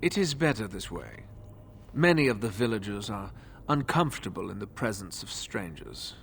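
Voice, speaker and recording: An actor playing an older male cult priest in a fantasy game, formal and measured in his speech. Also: on the low side, very animated, creaky, fairly bright tone, slight background noise, heavily acted. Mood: calm, courteous. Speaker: preacher. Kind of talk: scripted fantasy game acting.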